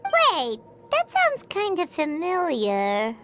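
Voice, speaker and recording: Squeaky, excitable little girl companion. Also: slow, very noisy, exaggerated voice. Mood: excited, curious. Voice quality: squeaky, childlike. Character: tiny excitable childlike girl companion, sweet and chirpy. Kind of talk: video game voice acting. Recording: music playing behind.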